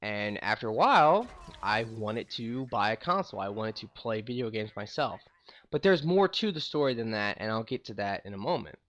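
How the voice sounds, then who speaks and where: A man's voice: lowish voice, big pitch swings, moderate pace, fairly bright tone, muffled recording. A young man from St. Louis, a gaming YouTuber, casually telling stories about his life in a rambling, chatty way. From St. Louis, USA. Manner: rambling, conversational, many fillers. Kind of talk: casual storytelling to camera.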